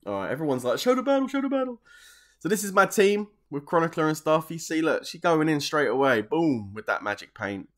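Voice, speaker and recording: A man's voice: on the high side, very animated, a little fast, a bit bright. A man, chatting casually on a game live stream, joking in slang, laughing often and answering viewer questions from his desk at home.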